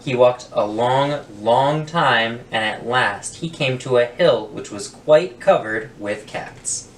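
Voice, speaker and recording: A man reading a children's picture book aloud with deliberate storytelling emphasis, in a roomy, distant recording. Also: medium pitch. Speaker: guest story reader. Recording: roomy, distant mic, faint noise.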